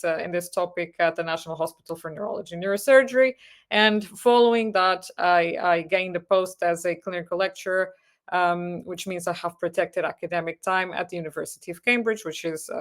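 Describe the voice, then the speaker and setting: A woman in her thirties from Portugal, a neurosurgery registrar and lecturer, giving a webinar talk in English with a Portuguese accent, often pausing on fillers and restarting phrases. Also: on the low side, steady tone. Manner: hesitant, many fillers and restarts, earnest.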